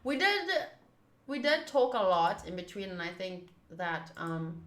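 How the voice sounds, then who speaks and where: A woman's voice: on the low side, sing-song, measured pace, fairly bright tone, reverberant. A young woman hosting a game livestream from a room at home, chatting casually with her viewers. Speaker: streamer, host of a game playthrough. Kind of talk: casual livestream chat and commentary.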